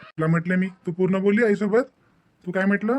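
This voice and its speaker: A man's voice: high voice, fairly even intonation, brisk, natural voice. A man voicing a devoted young husband in a cartoon family drama, earnest and insistent, lecturing with questioning tags in a Maharashtrian Marathi accent. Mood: insistent, caring.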